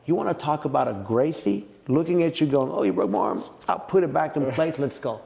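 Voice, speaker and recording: A middle-aged man with a mellow, gravelly voice, talking jiu-jitsu in a relaxed interview. He tells his stories with plenty of feeling and jumps up in pitch and volume when something excites him. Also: brisk. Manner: animated, emotional storyteller who gets loud and high when excited. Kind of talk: casual podcast interview.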